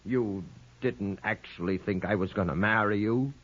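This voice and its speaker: An actor playing a jealous, short-tempered, menacing betting boss in an old-time radio crime drama, curt and bullying. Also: male voice, on the low side, normal speed, fairly bright tone. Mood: angry, menacing. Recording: muffled old radio recording.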